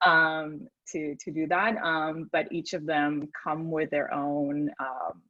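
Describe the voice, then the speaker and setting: Woman on a video call, lowish voice, kinda muffled and dry, lots of ums. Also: steady tone, slow, dry recording. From Peru. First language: Spanish. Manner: thoughtful, many fillers. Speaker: design speaker. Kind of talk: casual panel chat.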